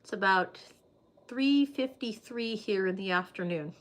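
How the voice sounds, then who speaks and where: A woman's voice: mid-range voice, a little slow, a bit bright. A woman hosting a casual livestream, chatting loosely with viewers and reading their comments, with plenty of "like" and "um" fillers.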